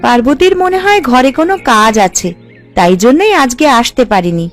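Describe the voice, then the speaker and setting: A woman playing an outspoken, high-pitched village woman. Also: sing-song, normal speed, faint music behind. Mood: indignant, scolding. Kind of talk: animated folk-tale cartoon dubbing.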